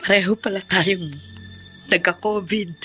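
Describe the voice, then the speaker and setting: A woman's voice: medium pitch, fairly even intonation, unhurried, sharp tone, soft background music. An actress in a radio drama playing a subdued, regretful mother speaking earnestly. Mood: surprised, gentle.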